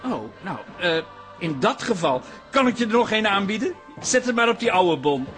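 A self-assured, cheerful son in a radio drama. His voice is high for a man and moves animatedly. Faint music sits behind his easy, confident talk. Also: big pitch swings, normal speed, fairly bright tone. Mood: breezy, persuasive. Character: cheerful, self-assured son who runs a photo studio.

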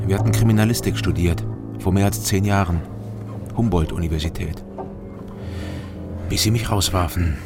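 An actor playing a terse, guarded, world-weary East German investigator in a radio crime drama, with a German accent. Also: male voice, low-pitched.

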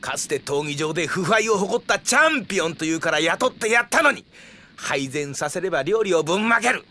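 Cranky old mayor, highish bright voice, bossy n blustery, audio drama. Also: male voice, lively intonation, brisk. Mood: scolding. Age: older. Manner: scolding, blustery old-man speech. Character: cranky bossy old male town mayor, part showman. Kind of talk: Japanese audio drama acting.

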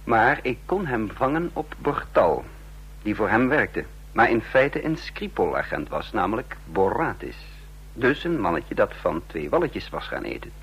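Dry, husky spy chief. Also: male voice, on the low side, very animated, a little slow, a bit bright, full character voice. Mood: confiding. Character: self-assured, dry intelligence chief narrating his own spy story. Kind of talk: Dutch radio play acting and narration.

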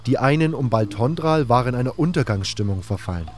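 A man's voice: medium pitch, animated, fairly quick, a little mellow. A man reading scripted first-person narration for a fantasy audio drama. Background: music.

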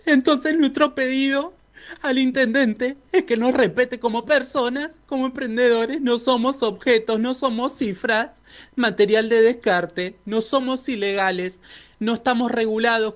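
A man with an Argentine Rioplatense accent speaking as a street vendors' spokesperson, giving an earnest activist statement in formal wording. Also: extremely high pitch.

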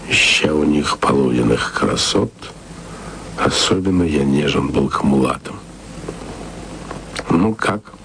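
A literate man musing tenderly to his beloved in a radio play. His voice is low and he takes his time, drawing phrases out theatrically. A faint hiss sits behind him. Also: animated, a bit bright, exaggerated voice. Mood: musing, wry. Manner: slow, theatrical, drawn-out phrasing. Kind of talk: intimate radio-play monologue with recited verse.

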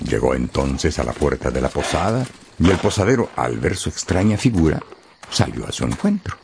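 Very deep, gravelly male storyteller. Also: very animated, darkish tone, a little hiss or hum. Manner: theatrical, with dramatic pauses and emphasis. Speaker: narrator. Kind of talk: expressive radio storytelling.

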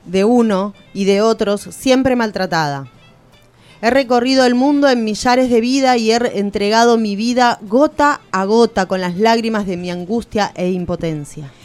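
A woman's voice: fairly high pitch, normal speed, darkish tone, faint music behind, lightly acted. An actress playing a sorrowful, reproachful woman who carries ancient suffering, in a solemn radio theatre lament. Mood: reproachful, anguished. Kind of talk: declamatory radio theatre recitation.

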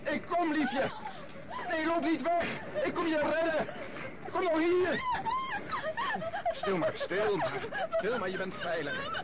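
A man playing a kind-hearted, desperate gentleman rescuer in a radio play, urgent and impulsive yet tender. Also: very animated, slow, pauses often, exaggerated voice. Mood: soothing. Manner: urgent, impulsive, soothing toward the child. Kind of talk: radio drama acting. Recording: very noisy radio play, loud crowd. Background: loud crowd and street rumble.

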